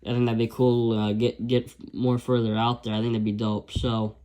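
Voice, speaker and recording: A man's voice: medium pitch, flat delivery, normal speed, dark tone. A man casually reviewing a handheld radio, rambling with lots of fillers and restarts.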